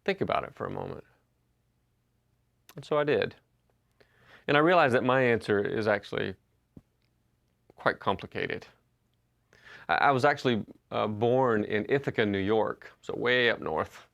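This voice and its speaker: A man in his fifties preaches in an easy, conversational way, full of small pauses and restarts. His voice sits low and carries a gravelly fry. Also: lively intonation, fairly bright tone, highs cut off. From Western North Carolina. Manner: conversational storytelling with frequent uh and restarts. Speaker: pastor. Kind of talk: sermon. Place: church sanctuary.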